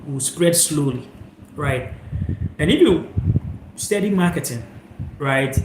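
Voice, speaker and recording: A young man from Ghana hosting a live stream, talking reflectively to viewers into a phone held sideways, rambling with fillers. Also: a little high. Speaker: channel host. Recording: echoey room, faint noise.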